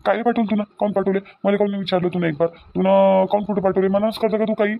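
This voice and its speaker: A man's voice: high-pitched, steady tone, quick pace, creaky, dry recording, phone-quality sound. A man playing an agitated young newlywed husband in a family drama, repeating himself, with a Varhadi Marathi accent. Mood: annoyed. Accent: Varhadi Marathi of Vidarbha. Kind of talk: amateur family comedy-drama dialogue.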